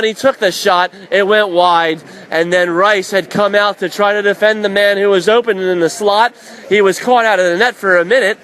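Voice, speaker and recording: A man calling live ice hockey play-by-play for radio in an excitable, vivid, dramatic manner. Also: sits high. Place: indoor ice rink broadcast position. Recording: loud crowd and rink noise behind. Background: hockey crowd and rink ambience.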